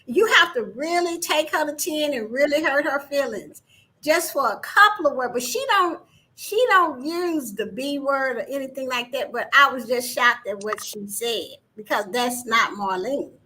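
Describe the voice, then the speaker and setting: A woman's voice: high voice, normal speed, low-quality recording. A woman business owner in a casual chat, teasing her friend and laughing often as she tells stories. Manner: laughing, teasing, animated storyteller.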